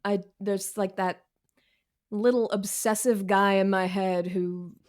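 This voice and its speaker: A woman talks as a guest writer in a conversational interview. She is frank and quick to poke fun at herself, using plenty of casual slang. Her pitch sits in the middle for a woman. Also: fairly even intonation. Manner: candid, self-deprecating, slangy.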